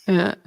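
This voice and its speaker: A woman hosting a casual livestream, chatting with viewers and a guest, laughing often and filling her talk with ums and restarts. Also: dry recording. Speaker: livestream host.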